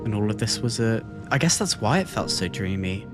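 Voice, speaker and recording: A man's voice: on the low side, lively intonation, fairly quick, music in the background. A man hosting a livestream with casual reaction commentary, thinking aloud in a hesitant way and repeating words as he goes. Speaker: livestream host.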